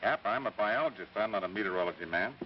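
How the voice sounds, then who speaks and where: An actor playing a sensible, kindly, skeptical biologist with an American accent in a 1950s radio drama. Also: male voice, fairly low pitch, expressive, recorded from a distance, close to an everyday voice. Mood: firm. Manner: brisk, matter-of-fact. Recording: dull old radio recording, faint noise.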